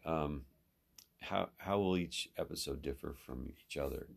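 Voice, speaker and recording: Very deep, gravelly man hosting an interview. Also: normal speed. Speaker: podcast host and instructor. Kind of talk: conversational interview.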